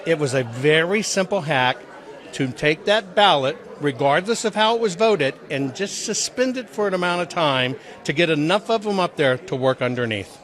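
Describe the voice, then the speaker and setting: Higher-pitched man earnestly explaining. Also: expressive, moderate pace, fairly bright tone, some chatter behind. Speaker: interview guest, audit presenter. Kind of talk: conversational interview answers.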